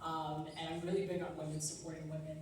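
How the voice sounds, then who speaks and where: A young woman from Long Island gives an earnest, upbeat talk at a training event, dropping in "um" and "like" as she goes. Her voice sits a little low. She is recorded from a distance in a strongly echoing room with faint noise behind her. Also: level tone, fast. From Long Island, New York. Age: young adult. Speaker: political organizer giving a training talk. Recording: echoey room, voice picked up from far off.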